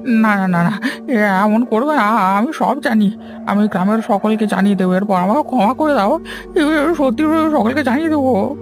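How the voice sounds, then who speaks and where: Casting: a woman as a timid cartoon village man, high-pitched, panicky stammer, pleading manner, over music. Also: moderate pace, close to an everyday voice. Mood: pleading, fearful. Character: frightened, cowardly village man pleading for his life. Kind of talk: Bengali cartoon voice acting.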